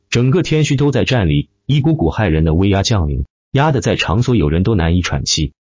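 Male audiobook narrator, low and husky, theatrical scripted delivery, close dry sound. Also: a little mellow, dry recording, dull audio. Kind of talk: dramatic scripted web-novel narration.

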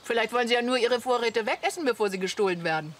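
Wry woman camper played by an actress, radio comedy, slightly high voice, noisy outdoor setting. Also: rapid-fire, natural voice. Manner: wry, mocking. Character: dry, teasing woman camper. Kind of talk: German comedy radio play acting.

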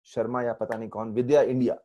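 Indian male spiritual teacher in his 40s, mellow tone, lively storyteller, mixes English into Hindi, earphone mic. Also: mid-range voice, fast talker, lo-fi sound. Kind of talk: online spiritual lecture. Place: temple room indoors. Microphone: earphone headset mic on video call.

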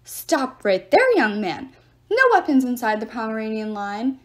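A woman's voice: a little high, very animated, normal speed. A woman voicing a fussy, indignant male god in a talking statue, complaining officiously in a storybook reading. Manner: officious, shrieking, rule-obsessed complaining.

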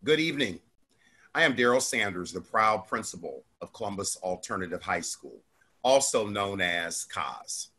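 A middle-aged man, a school principal, gives a formal, measured welcome from his home office over a webcam. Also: low voice, sharp tone, husky.

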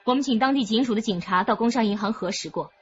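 Woman acting a composed, highish-voiced police officer. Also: muffled recording, soft background music, lightly acted. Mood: calm, factual. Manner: measured, authoritative. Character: composed, methodical female police officer presenting evidence. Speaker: actor in a radio drama. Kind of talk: radio drama acting.

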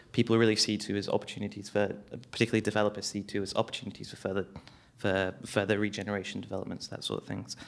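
Young guy on a panel, clear voice kinda flat, lots of ums n restarts. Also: lowish voice. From London. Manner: hesitant, many restarts and ums. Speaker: community campaigner. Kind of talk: panel discussion. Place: small hall, on stage. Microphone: handheld mic.

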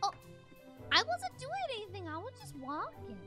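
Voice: very high voice, wildly swooping pitch, normal speed, exaggerated voice. A performer voicing a flustered little girl in an improvised Minecraft roleplay. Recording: loud children's music behind the voice.

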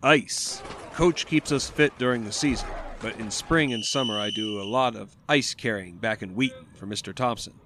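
An actor playing a modest young 1920s college football star with an American accent in an audio drama, plain-spoken and folksy. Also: male voice, on the low side, normal speed, close to an everyday voice. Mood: plain, unassuming. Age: young adult. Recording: noisy outdoor-like ambience.

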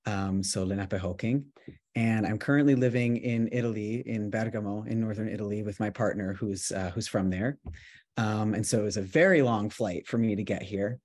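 A man from New York City giving a casual talk about his writing over a video call, relaxed and full of ums and restarts. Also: fairly low pitch, normal speed. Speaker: author giving a resident talk.

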